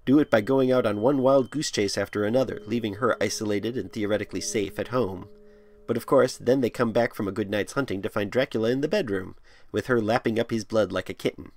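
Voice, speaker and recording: A man delivering a scripted commentary as a podcast host. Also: on the low side. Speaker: podcast host and audio drama maker.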